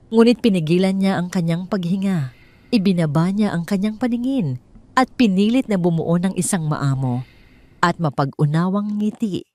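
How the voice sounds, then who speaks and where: Woman, Filipino accent, very low voice, dark mellow tone, dramatic scripted story narration.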